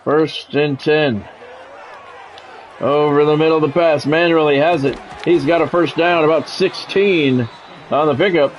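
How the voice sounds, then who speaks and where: A middle-aged man calling a football video game like a radio play-by-play announcer, in clipped phrases with informal asides. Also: medium pitch. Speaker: gamer and play-by-play commentator.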